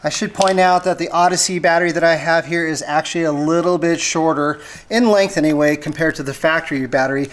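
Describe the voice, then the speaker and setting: A man's voice: on the high side, fairly even intonation, fairly quick. A man giving a casual, plain-spoken, hands-on car repair tutorial, explaining things step by step. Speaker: how-to video host. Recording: noticeable background noise.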